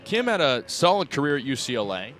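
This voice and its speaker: A man in his twenties calling baseball play-by-play for radio in crisp, clipped phrases that lift on the action. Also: medium pitch, big pitch swings, fairly quick, fairly bright tone, a little hiss or hum. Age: 20s. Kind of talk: live radio sports commentary. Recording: outdoor ballpark crowd behind voice. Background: ballpark crowd.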